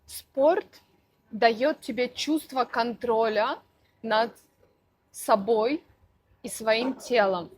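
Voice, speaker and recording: A woman language teacher answering interview questions for learners, speaking slowly and deliberately with careful articulation. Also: a little high, a little hiss or hum, poor audio. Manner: slow, deliberate, clearly articulated.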